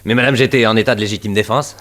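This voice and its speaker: An actor plays a murderous heir in a vintage French radio thriller. His voice is fairly low, and he comes across as shifty, scheming and defensive. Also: fairly even intonation, rapid-fire, fairly bright tone, lightly acted. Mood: flustered, defensive. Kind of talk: 1960s French radio crime drama acting.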